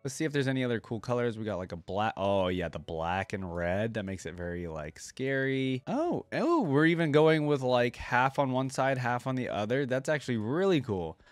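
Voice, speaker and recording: A man's voice: medium pitch, lively intonation, moderate pace, hardly any pauses, no echo, soft background music. A man giving casual reaction commentary as a host, thinking aloud in short, informal remarks.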